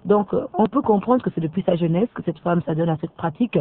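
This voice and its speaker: A woman's voice: lowish voice, fast. A woman presenting a radio magazine, chatty with many fillers. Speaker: radio host. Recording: narrow phone-like band.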